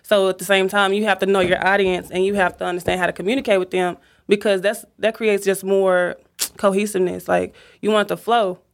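A woman hosting a casual chat, talking in a reflective, measured way and giving advice. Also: mid-range voice, flat delivery, creaky.

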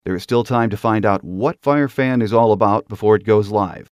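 A man's voice: on the low side, fairly quick, no echo, clean studio sound. A middle-aged man hosting a sports podcast, delivering a partly scripted monologue. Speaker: podcast host.

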